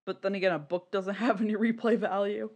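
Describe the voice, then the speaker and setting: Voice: medium pitch, fairly even intonation, fairly quick, darkish tone. A podcast co-host chatting casually and giving opinions in a rambling, emphatic way, swearing freely. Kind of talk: casual, opinionated podcast chat about niche fan media.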